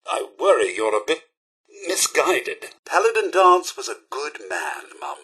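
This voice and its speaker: An actor playing a fussy, polite and loyal robot butler in a video game, with a British accent and a prim, theatrical, deferential manner. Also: male voice, a little high, huge pitch swings, a little slow, sharp tone, breathy edge, full character voice. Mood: concerned, disapproving. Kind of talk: video game companion dialogue.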